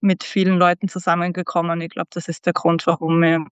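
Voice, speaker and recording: A woman from Styria in Austria, a club member talking as a guest, calm and agreeable with many affirming words. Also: on the low side, brisk, smooth, no echo, dull audio. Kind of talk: casual conversational interview.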